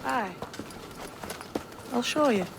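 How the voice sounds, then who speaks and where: A man's voice: very high voice, expressive, very slow, heavily acted. A man playing a blunt, stern but amiable assassin in a video game. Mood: frank, earnest. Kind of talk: video game cutscene acting. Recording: clearly noisy outdoor ambience.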